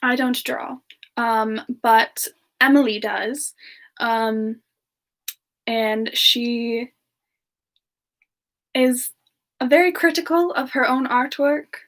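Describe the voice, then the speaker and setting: A woman playing a sweet, chatty young female demon, talking gently and hesitantly in a casual semi-ASMR live chat. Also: fairly high pitch. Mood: gentle, friendly. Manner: gentle, hesitant, pausing often mid-sentence. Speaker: host of a live Q&A stream.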